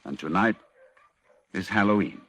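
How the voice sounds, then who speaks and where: An actor playing an anguished, fearful scholar who narrates horror in a grave, halting, dramatic 1940s radio style. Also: male voice, low voice, a bit bright. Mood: grave dread. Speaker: narrator and lead character.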